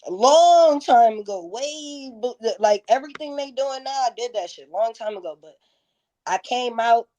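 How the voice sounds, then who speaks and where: A woman's voice: on the high side, animated, normal speed, dark tone, highs cut off. A woman in her thirties telling stories candidly in a casual interview, with slangy, profane, emphatic phrasing. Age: 30s. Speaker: interview guest, music performer.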